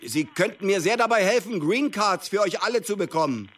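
An actor playing an earnest, protective man in radio drama dialogue, speaking in a halting way. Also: high voice, lively intonation, very noisy. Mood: careful, earnest.

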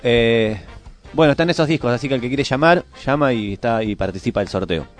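A man's voice: mid-range voice, brisk. An Argentine man co-hosts a live radio show in a casual, chatty way. His voice has an ordinary male register, and light music plays faintly behind him. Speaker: radio co-host. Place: radio studio.

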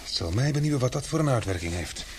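A man's voice: medium pitch, expressive, fast, noisy background, close to an everyday voice. Polite, eager reporter played by a man. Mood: mild, conversational. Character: polite, eager male newspaper reporter, Paul Garnet. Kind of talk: Dutch radio play acting.

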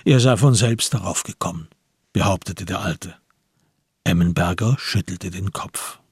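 A man narrates a radio drama from a script. His voice is very rough and breathy-husky, with a gravelly creak, set a little low. It is recorded dry, without room sound. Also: unhurried, a little mellow, no room sound.

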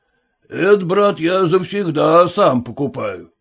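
A man's voice: fairly high pitch, animated, slow, gravelly, fairly bright tone, breathy edge, exaggerated voice. A man voicing a stingy, domineering merchant who speaks bluntly and scolds his servants. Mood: gruff bossy. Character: gruff stingy old merchant, bossy.

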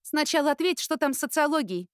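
A woman voicing a caring, cheeky older sister in an audiobook, with a playful, animated manner. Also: high-pitched, fast, no room sound, clean studio sound, voice pushed up. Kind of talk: audiobook character acting.

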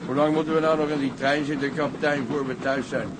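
An actor playing an impatient, homesick sailor in a radio drama, talking colloquially. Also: male voice, steady tone, fast, natural voice. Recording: loud train rumble behind.

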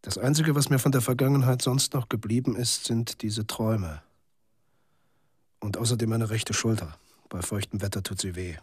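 A jaded journalist in a bleak near-future radio monologue. His voice is low and somewhat husky, and he tells the story in terse, cynical literary sentences. The sound is dry, with no room around him. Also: moderate pace, darkish tone, no echo. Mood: weary, cynical. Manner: laconic, literary first-person storytelling. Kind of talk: scripted radio drama monologue.

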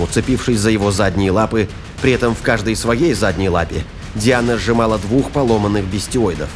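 Low-voiced male narrator over dramatic music. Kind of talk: scripted narration of sci-fi thriller audio drama.

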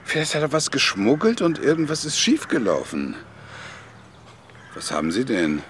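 A man's voice: mid-range voice, huge pitch swings, normal speed, a bit bright, a little hiss or hum. An actor playing a kindly, upright older man, polite and a little hesitant, in a German radio crime drama. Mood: probing. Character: kindly, upright elderly widower pulled into crime.

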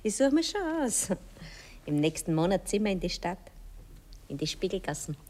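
An actress playing a sleepy, carefree young streetwalker with a Viennese accent, offhand and pert in a 1960s radio play. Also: female voice, fairly low pitch, big pitch swings, moderate pace, darkish tone. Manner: drowsy, offhand, matter-of-fact.

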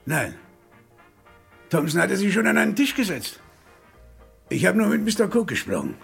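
An actor playing an obliging roadhouse landlord in a radio crime drama, with a husky, gravelly voice. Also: male voice, high-pitched, big pitch swings, normal speed, fairly bright tone, faint music behind, full character voice. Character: obliging male roadhouse landlord under questioning.

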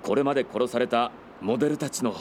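An actor playing a junior police detective in a radio drama, giving an urgent report. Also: male voice, medium pitch, a little fast, slight background noise, lightly acted. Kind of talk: Japanese radio drama acting.

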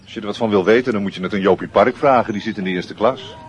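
An actor playing a chatty, gossiping teenage schoolboy with a casual, slangy manner in a Dutch radio play. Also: male voice, lowish voice, fast talker, close to an everyday voice. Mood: placating. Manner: casual, swearing, teasing slang.

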